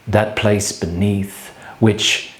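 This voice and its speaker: An actor playing a bleak, solitary man brooding on death in a theatrical monologue, speaking in grave, halting fragments. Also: lowish voice, fairly even intonation, a bit bright. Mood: bleak, haunted. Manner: halting, clipped fragments with measured pauses. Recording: echoey room sound.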